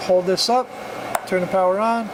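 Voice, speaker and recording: A man's voice: high-pitched, steady tone, normal speed. A man giving a casual, plainspoken, hands-on demonstration of equipment in an informal amateur recording. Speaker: product reviewer demonstrating a CB radio. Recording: noisy amateur recording, loud background hum.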